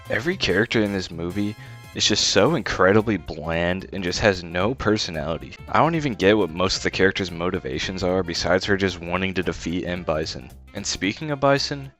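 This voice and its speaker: A man with a low voice narrates a scripted critique. His wording is blunt, disparaging and casual, and quiet music plays behind him. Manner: blunt, disparaging, informal with swearing. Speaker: video essay host and film critic. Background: faint music.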